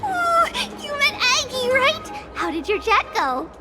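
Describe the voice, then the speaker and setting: A woman's voice: very high-pitched, sing-song, unhurried, heavily acted. A woman voicing a tiny, cheerful childlike girl priest in a video game, bubbly, exclamatory, helpful and proud. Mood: delighted. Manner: bubbly, exclamatory, speaks of herself as "we". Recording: music behind the voice.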